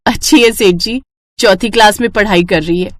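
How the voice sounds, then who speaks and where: A woman's voice: mid-range voice, normal speed, no echo, lightly acted. Woman acting a humble, grateful poor mother. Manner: deferential, earnest. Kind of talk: acted dialogue in a children's moral story.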